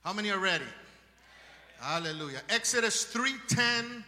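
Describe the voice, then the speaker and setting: Spanish accented preacher guy, high bright voice, shouting hallelujahs, echoey church hall from far off. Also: expressive, normal speed. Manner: fervent, shouting praises to the congregation. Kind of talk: sermon.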